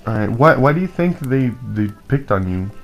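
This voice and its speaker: A man's voice: mid-range voice, sing-song, normal speed, soft background music. A young man whose first languages were Spanish and Japanese, interviewing a friend in a casual, hesitant way with many fillers.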